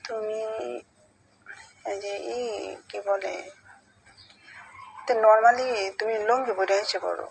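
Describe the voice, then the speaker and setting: A woman's voice: medium pitch, steady tone, takes it slowly, creaky, long pauses. A middle-aged woman in a casual private phone conversation, hesitant and coaxing, often repeating herself. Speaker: mother-in-law on a phone call. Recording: noisy recorded phone call.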